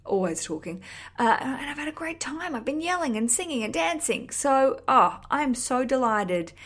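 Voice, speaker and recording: A woman hosting a podcast, chatting casually and effusively to listeners in a cheeky, sweary, rambling way. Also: fairly high pitch. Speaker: podcast host.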